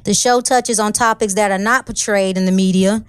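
A woman hosting a casual chat show, talking in a loud, playful way and laughing easily. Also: mid-range voice, fairly even intonation, moderate pace, smooth, no echo. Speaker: host.

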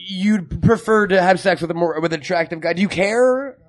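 A man's voice: high-pitched, brisk. A man hosting a casual interview, asking questions in a joking way with some stammering. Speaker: podcast host.

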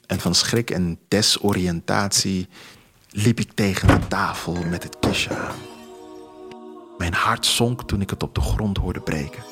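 A man narrating a scripted first-person story in a measured, literary way. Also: deep voice, animated, vocal fry. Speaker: author reading own story as narrator. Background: music.

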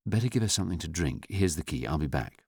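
A storybook reader plays a calm male doctor. He is low-voiced and mild, giving instructions matter-of-factly, in a dry close recording. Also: quick pace, gravelly, breathy edge, no echo, full character voice. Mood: calm, in charge.

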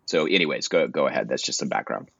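A man's voice: very deep voice, animated, fast, creaky, fairly bright tone, husky, no echo, dull audio. A man co-hosting a podcast, talking casually and rambling with many "likes" and restarts. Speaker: podcast co-host. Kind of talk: casual conversation.

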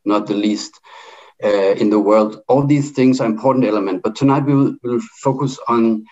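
Halting Danish-accented man, muffled audio. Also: fairly low pitch, darkish tone. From Denmark. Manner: halting, with many fillers and restarts. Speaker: debate speaker. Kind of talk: speaking in an online debate.

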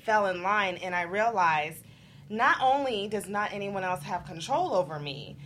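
A woman on a talk panel explaining things casually and personally, with an excited manner. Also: mid-range voice, moderate pace, a little hiss or hum.

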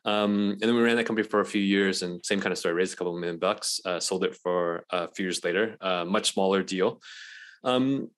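Guy from malaysia on a podcast, really deep voice, rambly, lots of ums. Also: a little fast. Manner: relaxed, rambling with many fillers. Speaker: podcast guest, accelerator co-founder. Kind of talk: casual interview talk.

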